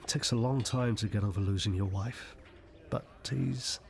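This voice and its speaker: An actor playing a friendly, reflective village pub customer in a radio soap. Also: male voice, lowish voice, normal speed, vocal fry. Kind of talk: radio soap opera acting.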